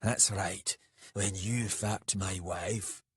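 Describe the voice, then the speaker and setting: A man's voice: sits low, fairly even intonation, drawn-out words, slightly rough, no echo, exaggerated voice. A man voicing a big, fierce male brawler in a story, blunt, sweary and goading. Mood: bitter, menacing.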